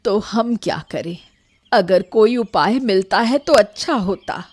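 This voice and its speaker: A woman playing a caring, practical old village aunt in a Hindi animated moral story, speaking in a measured, plain way. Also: medium pitch. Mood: worried.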